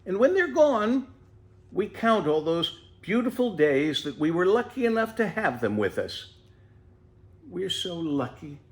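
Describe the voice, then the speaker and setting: Man voicing a high, gentle old mother tortoise. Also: big pitch swings, measured pace, slight background noise, full character voice. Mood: gentle, consoling.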